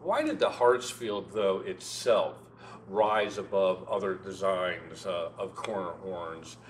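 Older male interviewer, low pitch, conversational, repeated affirmations, slight background hum. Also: lively intonation, takes it slowly. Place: indoor show room, seated. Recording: background noise with faint hum.

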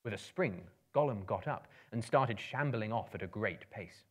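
A man in his thirties giving a theatrical, suspenseful narration of a storybook in measured phrases. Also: lowish voice, expressive. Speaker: narrator, dramatic reader. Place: indoor book-lined room, live audience.

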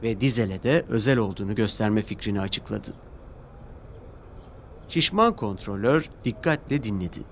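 A man's voice: lowish voice, animated, moderate pace, pauses often, slight background noise. A man narrating a scripted children's story. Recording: loud music behind the voice.

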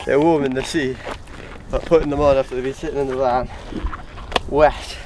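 A man's voice: mid-range voice, huge pitch swings, moderate pace. A surfer chatting casually outdoors on a cold coast, joking about his cold hands and gloves. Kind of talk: casual chat on a surf trip. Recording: outdoor camera audio with background noise.

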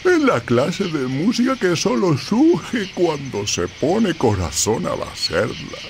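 A man playing a proud, sentimental crab conductor in an animated game, speaking in a grandiose way. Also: fairly high pitch, slow, slight background noise, full character voice. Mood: proud, moved. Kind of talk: animated video game dub.

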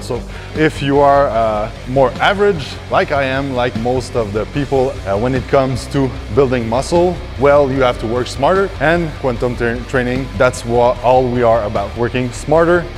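A man's voice: mid-range voice, moderate pace, soft background music. Man coaching a workout in a gym. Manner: explains step by step with frequent fillers and restarts. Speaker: fitness coach. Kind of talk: instructional workout explanation. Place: large indoor gym.

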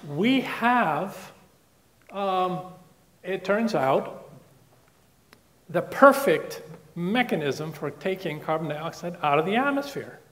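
A man from the Netherlands giving a chatty, joking public science talk in a lecture room, with restarts and asides. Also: fairly high pitch. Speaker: guest lecturer. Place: lecture room with projected slides.

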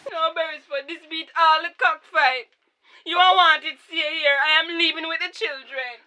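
High, bright, wounded Jamaican wife. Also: female voice, fairly even intonation, normal speed, exaggerated voice. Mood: indignant, hurt. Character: hurt, indignant wife and firm mother. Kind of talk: radio drama acting.

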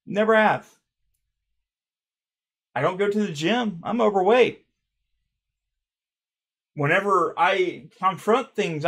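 A man in his thirties reading fan comments aloud on his channel, rambling and swearing, with a stutter he mentions himself, recorded by a webcam in a dark room at home. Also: high-pitched, creaky. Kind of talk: casual reaction commentary.

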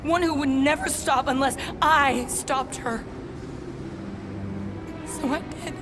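Tough, terse female assassin, dry delivery, fairly high voice. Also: slow pace, noisy background. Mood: somber. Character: guarded deadly female alien assassin. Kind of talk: video game cutscene acting.

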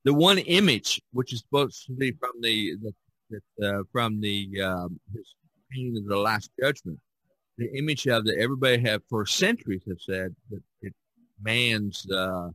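A man hosting a podcast, talking casually about art in a rambling, halting way with frequent restarts. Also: on the low side, bright, forceful tone, dry recording, rough recording. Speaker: podcast host discussing art. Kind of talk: casual conversational discussion.

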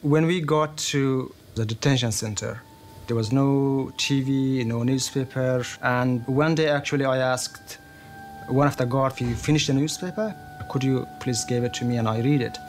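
A man's voice: medium pitch, fairly even intonation, moderate pace, soft background music. Man from Afghanistan, thoughtful interview. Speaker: interviewee, refugee. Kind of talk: documentary interview. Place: studio interview set, indoors.

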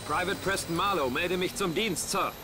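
A man's voice: high voice, normal speed, loud music behind the voice, natural voice. An actor playing a young male army private, an earnest and slightly unsure new recruit. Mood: unsure, polite. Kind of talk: scripted first-person narration and game dialogue.

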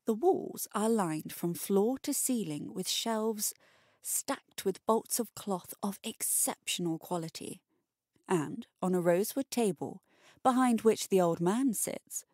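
A woman narrating a scripted short story in a deliberate, measured manner. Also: medium pitch, animated, a little mellow, no room sound, clean studio sound.

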